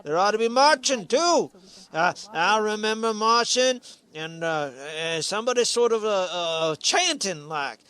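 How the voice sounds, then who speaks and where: A man's voice: high-pitched, lively intonation, measured pace, fairly bright tone, clean tone. A man playing a cranky, stubborn old villager in a radio play, querulous and folksy. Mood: grumbling, nostalgic. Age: seventies or older.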